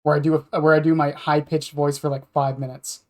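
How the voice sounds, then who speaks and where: A man's voice: a little high, level tone, fairly quick, a little mellow. A man chatting casually on a podcast as a streamer, rambling and self-deprecating, with some swearing.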